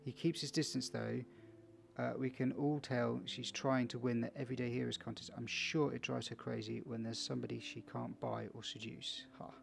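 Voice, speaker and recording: A man's voice: mid-range voice, level tone, normal speed, gravelly, a little hiss or hum. A man playing a video game for viewers, casually reading its text aloud with small stumbles and chatty asides. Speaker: video game let's player.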